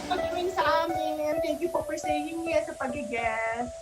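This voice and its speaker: Woman hosting over loud music. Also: sits high, a little slow. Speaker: host of an online radio talk show. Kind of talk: casual live hosting mixing English and Filipino.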